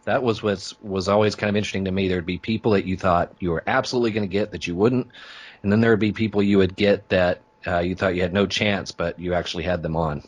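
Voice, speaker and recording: Man, low voice, informal, chatty storyteller, podcaster in a relaxed interview conversation. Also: fast. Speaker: podcast host being interviewed. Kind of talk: casual interview chat.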